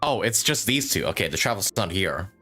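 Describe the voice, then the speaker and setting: Jokey, animated male game streamer. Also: low-pitched, brisk. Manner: jokey, laughs easily, sweary. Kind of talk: casual live gameplay commentary. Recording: stream with game music behind.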